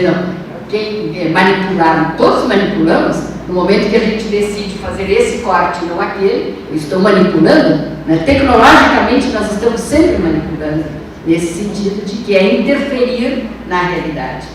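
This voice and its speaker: A woman's voice: medium pitch, moderate pace. A Brazilian woman academic answering on a panel in an emphatic, didactic way, returning to key phrases. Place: large echoing room at a university event. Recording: very echoey room, distant mic, faint noise.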